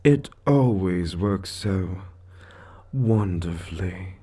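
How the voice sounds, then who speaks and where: A man's voice: low-pitched, expressive, very slow, warm tone, breathy edge, exaggerated voice. A man voicing a suave, gloating devil lord in an audio roleplay, theatrical and condescending, savoring his words as he toys with a mortal. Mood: gleeful. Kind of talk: scripted audio roleplay monologue.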